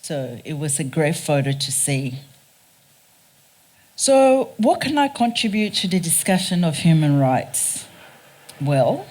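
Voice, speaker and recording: A woman from Ghana with a Ghanaian accent, giving a prepared public talk in an earnest, deliberate, emphatic manner. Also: fairly low pitch, a little mellow. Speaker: guest speaker, race scholar. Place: speaking live at a theatre event.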